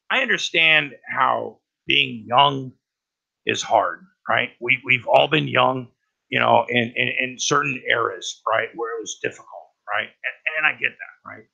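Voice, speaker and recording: Raspy man with a cold, bright pushed tone, rambling profane stream host. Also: mid-range voice, lo-fi sound. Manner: profane and rambling, lots of "right?". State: has a cold. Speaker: livestream host. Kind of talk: rambling casual talk about news.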